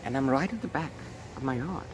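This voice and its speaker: A man in his forties giving a casual, unscripted book chat, rambling in an animated way with exasperated asides. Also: mid-range voice, brisk, background noise. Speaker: book reviewer vlogger. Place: small room at home with bookshelves.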